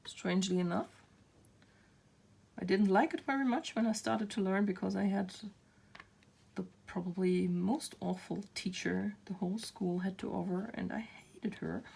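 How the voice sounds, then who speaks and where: A woman's voice: medium pitch, vocal fry. A woman in her thirties with a German accent, chatting casually to camera and laughing at herself often. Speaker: craft channel host.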